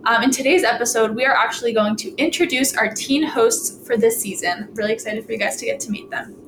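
A woman's voice: a little high, brisk, echoey room, background noise. A woman co-hosting a podcast, enthusiastic and encouraging as she introduces the teen hosts. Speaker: podcast staff co-host.

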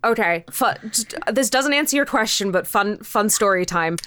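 A woman's voice: medium pitch, lively intonation, moderate pace, fairly bright tone, clean tone. A woman chatting casually as an interview guest, telling stories in a rambling, emphatic, informal way. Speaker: interview guest, creator of a podcast show. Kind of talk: casual chat, storytelling.

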